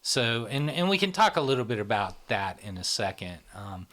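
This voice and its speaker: A middle-aged man with a somewhat low voice explains ideas step by step. He keeps asking "right?" to check that the listener is following. His delivery is patient and conversational. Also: very animated, moderate pace, a bit bright. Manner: teacherly, checks in with "right?" often. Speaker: psychology channel founder, explaining.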